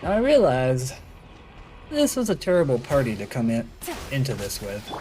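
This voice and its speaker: A man's voice: mid-range voice, wildly swooping pitch, normal speed, background noise. A man chatting casually and in a rambling way as he streams his gameplay. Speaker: game streamer. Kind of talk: casual livestream gaming commentary. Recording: game music behind the voice. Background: video game music.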